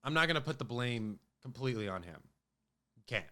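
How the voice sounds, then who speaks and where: Bright-voiced man with a cold. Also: medium pitch, expressive, a little fast, clean tone. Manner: rambling, many fillers and restarts. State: has a cold, voice a bit off. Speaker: podcast host. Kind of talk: casual sports podcast talk.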